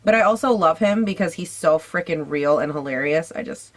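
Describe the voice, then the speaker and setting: A young woman with a raspy voice, hoarse from a cold, chatting casually and sassily through a makeup try-on at home. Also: deep voice, lively intonation, fairly quick. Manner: chatty, sassy, swears casually. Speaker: beauty vlogger.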